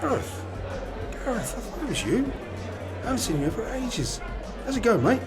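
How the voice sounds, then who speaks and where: A man's voice: extremely high pitch, very animated, normal speed. A British man playing a chummy pub mate in an amateur radio play. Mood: friendly. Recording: busy pub crowd and music behind.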